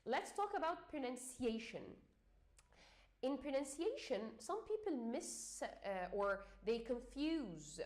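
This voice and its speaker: Egyptian-accented woman teacher, slightly high voice, halting explanatory delivery. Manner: hesitant, many fillers, repeats and restarts. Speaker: English exam teacher.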